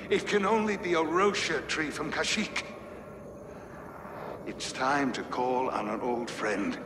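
Echoey old scholar. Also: male voice, a little high. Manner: scholarly, genial. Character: learned, thoughtful old explorer scholar, male. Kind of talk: cinematic video game acting. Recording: echoey, reverberant, noisy ambience.

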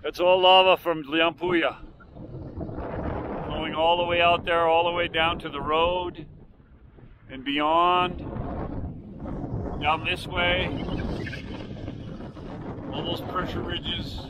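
An older man narrating a hike outdoors on a windy volcanic ridge, calmly explaining the landmarks around him. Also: a little high. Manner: unhurried, explanatory, pointing out landmarks. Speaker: hiking vlogger. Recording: faint wind noise.

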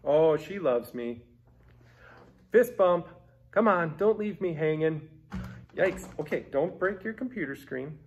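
A middle-aged man acts as presenter, giving a theatrical comic introduction. His voice is husky and a little high for a man, with playful, hammy delivery. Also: animated, measured pace, pauses often, faint music behind. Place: small furnished room indoors.

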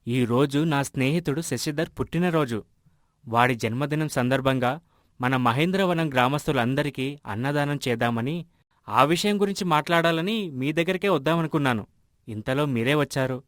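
A man voicing an earnest, generous village husband in an animated moral story, speaking in an explanatory way. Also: mid-range voice, normal speed, no room sound, studio quality, close to an everyday voice. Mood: friendly.

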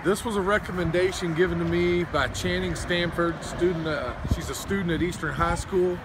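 A man's voice: sits high, fairly even intonation, normal speed. A man, chatting to camera in a folksy, enthusiastic way as a food vlog host. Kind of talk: casual vlog chat to camera. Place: outside a storefront by a road, then inside a small restaurant. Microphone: camera or phone a short distance away. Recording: noisy handheld recording, traffic and restaurant ambience.

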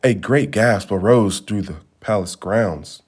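A man reading a storybook aloud as a storyteller, with expressive, dramatic phrasing. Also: fairly low pitch, slow pace, breathy edge. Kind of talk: scripted storybook narration.

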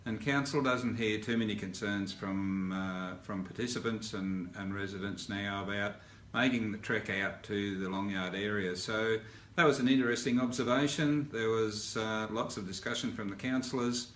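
A man's voice: on the low side, fairly bright tone. Older Australian man, formal mayoral update. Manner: measured, formal, with frequent "uh" fillers and restarts. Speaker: mayor giving a council update. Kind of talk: spoken video update to residents. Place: indoor office in front of a backdrop. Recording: roomy sound with faint ambient hiss.

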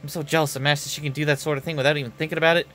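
A man's voice: on the high side, flat delivery, racing, a bit bright, slight background noise, close to an everyday voice. A man voicing a shy, gloomy young shut-in princess in a game, self-pitying and muttering asides. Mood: envious.

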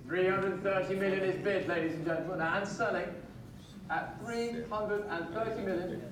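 Far-off auctioneer calling bids in an echoey room. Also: male voice, high-pitched, fairly even intonation, noisy background. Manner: brisk, repetitive calling of bids with light joking asides. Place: large auction sale room, heard from the audience. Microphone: far phone camera in the crowd. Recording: distant echoey sale room from a phone in the crowd.

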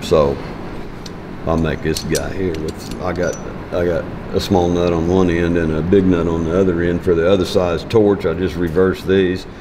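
A man's voice: deep voice, level tone, normal speed, background noise. A man in his fifties teaching welding in a large metal workshop, talking in a folksy, plainspoken way as he works and thinks aloud. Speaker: welding instructor. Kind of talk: hands-on shop demonstration. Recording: music bed under the voice.

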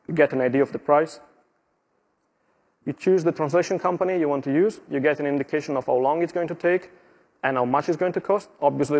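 A middle-aged man from Italy with an Italian accent, giving a steady tech conference walkthrough with small slips and fillers. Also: fairly high pitch, slightly rough. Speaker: conference presenter. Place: conference stage.

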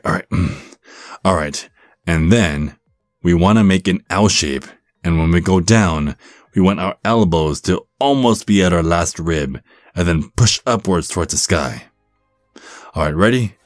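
A man's voice: sits low, dry recording, clean studio sound. A man voicing a supportive, flirty werewolf gym bro in an ASMR roleplay, with an encouraging, stammering manner. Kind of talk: ASMR roleplay, one-sided conversation.

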